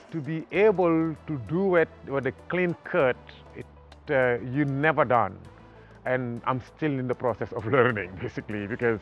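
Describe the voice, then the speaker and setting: Halting Senegalese man with soft music. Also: medium pitch, lo-fi sound. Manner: halting, many pauses and fillers. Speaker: artist. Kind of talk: documentary interview.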